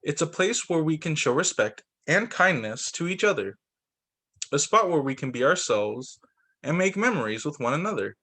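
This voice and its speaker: Male primary teacher, lightly high voice, animated picture-book read-aloud with spontaneous asides. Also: normal speed. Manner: expressive, comments aloud on the pictures. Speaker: teacher reading aloud to young students.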